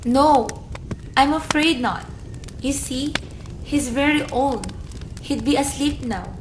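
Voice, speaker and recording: A woman's voice: high-pitched, slow, background noise. An actress playing an emotional, easily wounded woman in a radio play. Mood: subdued, gentle. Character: bitter, hurt young woman, later gentle middle-aged daughter.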